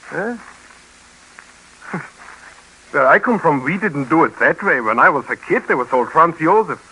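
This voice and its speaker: Animated, baffled refugee carpenter. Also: male voice, a little high, exaggerated voice. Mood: incredulous. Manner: halting, incredulous questioning. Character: baffled, skeptical refugee carpenter from Eastern Europe. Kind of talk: 1950s radio drama acting. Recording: noisy old 1951 radio recording.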